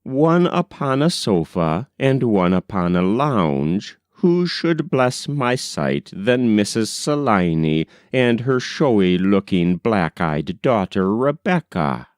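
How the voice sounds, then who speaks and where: A man reading an old-fashioned novel as an audiobook narrator, in an expressive storytelling manner that acts out the dialogue. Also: fairly low pitch, slow pace, few pauses, no room sound, clean studio sound.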